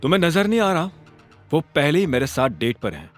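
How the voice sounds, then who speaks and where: A man's voice: on the high side, big pitch swings, fairly quick, faint music behind. A man voicing an evasive, guarded young man on a date in an audio drama, hesitant and deflecting. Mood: stern.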